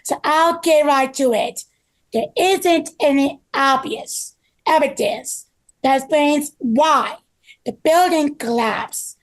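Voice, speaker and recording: A woman reading the part of an earnest, careful construction manager in a halting, deliberate way at a table read. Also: high-pitched, low-quality recording. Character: earnest construction project manager named Bob, calm and careful. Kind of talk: table read of a scripted story.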